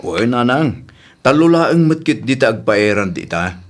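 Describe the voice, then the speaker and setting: A man's voice: medium pitch, very animated, unhurried. A man playing a dutiful, hardworking son in a radio drama, respectful and reassuring. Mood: eager.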